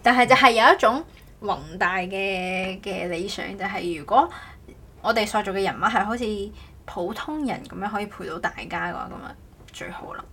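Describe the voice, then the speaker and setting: A woman co-hosts a casual podcast, chatting haltingly and often correcting herself. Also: medium pitch, pushed and bright, breathy edge. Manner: halting, repeats words, self-correcting. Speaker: podcast host. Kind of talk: casual co-hosted podcast chat.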